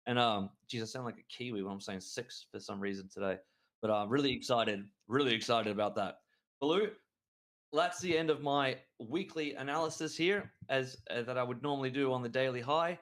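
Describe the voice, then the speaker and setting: A man co-hosting a trading show, his voice sitting at an ordinary middle pitch. He talks with eager excitement, rambling through his analysis and often restarting mid-sentence. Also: fairly quick. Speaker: crypto trading show co-host. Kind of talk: enthusiastic market analysis chat.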